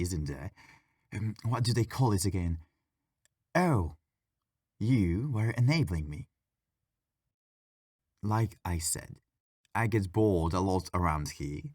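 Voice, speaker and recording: A man playing a friendly, bored, mischievous ghost in an intimate ASMR audio roleplay, talking in a playful, wry, gently argumentative way. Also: low voice, no room sound, studio quality. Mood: wry, candid.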